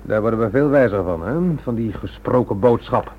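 A dull radio play recording with faint noise holds an actor's brisk male police inspector. He talks fast in a fairly low voice, formal and sceptical, with a dry, dismissive edge. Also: close to an everyday voice. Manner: official, dryly dismissive. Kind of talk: radio drama acting.

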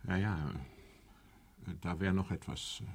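A self-important elder statesman in a radio drama. The voice is low, gravelly and a little husky, with a dry, uneasy delivery that hems and hesitates. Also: steady tone, slow, heavily acted. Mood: embarrassed, hesitant. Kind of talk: radio play acting.

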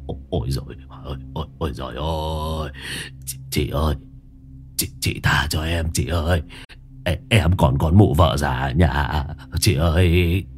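A man voicing a terrified old drunk peasant who stammers and begs for mercy in a low, strained voice. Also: very animated, unhurried, bright, forceful tone, faint music behind, exaggerated voice. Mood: begging. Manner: stammering, begging.

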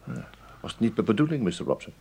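A man's voice: fairly low pitch, expressive, quick pace, gravelly, darkish tone, a little hiss or hum. A man acting a mild, apologetic police investigator in a radio drama, speaking politely and hesitantly. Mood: hesitant, probing. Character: mild, apologetic male police investigator asking probing questions.

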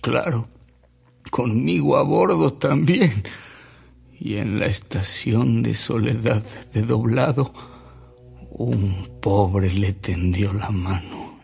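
Radio drama, reflective, weary Mexican narrator: slow, measured, heavy rasp, dramatic pauses. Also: male voice, medium pitch, darkish tone. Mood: confiding. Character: weary, reflective man recalling a saintly bishop's deeds.

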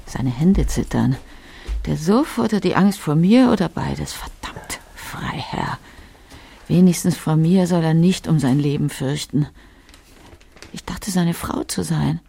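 An actress playing a bitter, brooding older wife in a literary radio drama monologue, with a husky, creaky voice and sardonic delivery. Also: female voice, low voice, lively intonation, a little slow, warm tone, slight background noise, full character voice. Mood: bitter, hushed.

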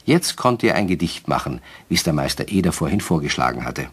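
A man's voice: extremely low pitch, very animated, fairly quick, slightly rough, heavily acted. A man narrating a German children's radio play as a scripted storyteller.

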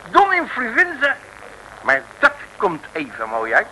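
A brash, bumbling detective from an old comic radio play. The voice is very sharp and forceful, fairly high for a man, and swings about with boastful, slangy bluster. Faint noise sits on the old recording. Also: wildly swooping pitch, slow pace, full character voice, low-quality recording.

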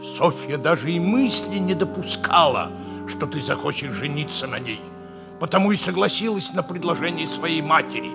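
An actor playing a frank, loyal hussar captain, speaking in an emphatic, theatrical 1960s radio comedy style. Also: male voice, fairly high pitch, expressive, moderate pace, vocal fry. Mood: earnest, grave. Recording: 1960s radio play with music.

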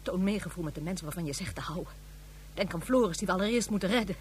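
A woman's voice: lowish voice, fast, natural voice. An actress playing a reproachful mother in distress in a science-fiction radio play, earnest and emphatic. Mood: angry reproach. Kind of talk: Dutch science-fiction radio drama acting. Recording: radio play with faint background hum.

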